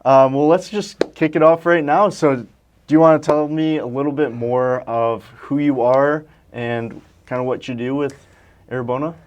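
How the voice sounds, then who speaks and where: A relaxed male host keeps a business conversation moving. His voice sits at a middle pitch, and he nudges his guest along with short, encouraging replies. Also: normal speed, highs cut off. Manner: easygoing, encouraging. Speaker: podcast host. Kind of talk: casual interview.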